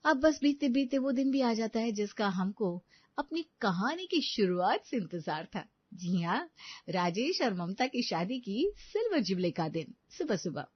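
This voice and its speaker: A woman's voice: on the high side, sing-song, a little slow, husky, dry recording, dull audio. Woman narrating a scripted moral story.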